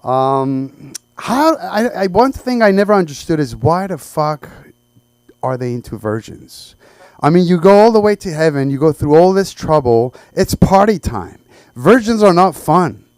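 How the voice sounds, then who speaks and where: A man from Iran performing a stand-up set in a halting, conversational way. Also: fairly high pitch, very animated, a little mellow, clear, resonant tone, dry recording. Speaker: stand-up comedian. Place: small comedy open mic.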